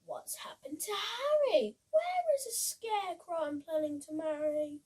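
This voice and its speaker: A woman's voice: extremely high pitch, lively intonation, unhurried, a little mellow, pitched above the performer's own voice. A woman voicing an earnest, loyal female scarecrow bride-to-be, speaking emphatically in a storybook reading. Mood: worried.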